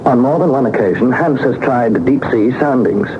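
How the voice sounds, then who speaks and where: An actor playing an anxious young British gentleman explorer, narrating his journal formally and deliberately in a 1960s radio serial. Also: medium pitch, lightly acted. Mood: wondering. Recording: noisy, muffled 1960s radio broadcast.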